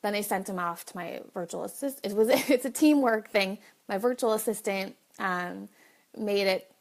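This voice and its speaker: A woman's voice: mid-range voice, gravelly. A woman hosting a casual live video, chatting directly to viewers, with a slight cough. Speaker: livestream host.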